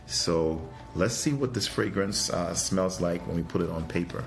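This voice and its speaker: A man's voice: low voice, normal speed, faint music behind. A man from Trinidad giving a casual live product review, thinking aloud in a hesitant, musing way and often repeating his phrases. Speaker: fragrance reviewer and livestream host.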